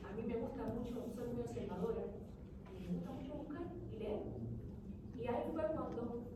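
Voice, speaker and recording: A middle-aged woman from Cuba with a Cuban accent, giving earnest, halting impromptu testimony at a public event. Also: a little high. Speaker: audience member giving personal testimony. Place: event hall, recorded from a distance. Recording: echoey hall, distant mic, noisy background.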